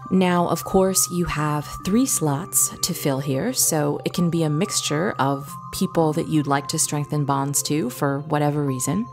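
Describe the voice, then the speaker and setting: A woman with a slightly low voice guides a meditation over clearly present background music. She speaks very slowly and gently, leaving long pauses between soothing instructions.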